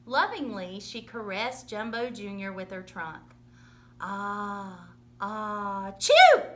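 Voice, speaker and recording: A woman reading a children's story aloud in an expressive, dramatic storytelling manner. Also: a little high, a little hiss or hum. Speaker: storybook reader.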